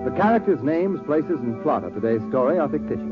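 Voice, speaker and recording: A man's voice: fairly high pitch, big pitch swings, fast talker. An American man reading scripted 1940s radio announcements in a dramatic, emphatic manner. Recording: muffled, reverberant 1940s radio recording with music.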